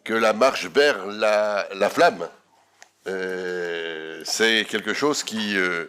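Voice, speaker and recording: A man chairing a council meeting, making informal announcements in a halting way with repeated words. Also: lowish voice, pushed and bright, a little hiss or hum. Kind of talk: informal closing remarks and announcements.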